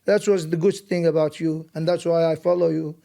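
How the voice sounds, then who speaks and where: A man's voice: a little high, steady tone, fairly quick, vocal fry, warm tone. A man in his fifties from Iraq, speaking up from a debate audience with emphatic, heartfelt repetition. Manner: emphatic, repeats words, heartfelt. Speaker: audience member.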